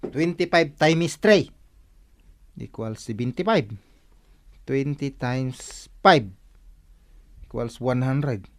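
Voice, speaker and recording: An actor playing an excitable village customer in a comedic radio drama, with a Northern Philippine accent and an animated, protesting manner. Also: medium pitch, drawn-out words, pauses often. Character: excitable village customer who checks every sum.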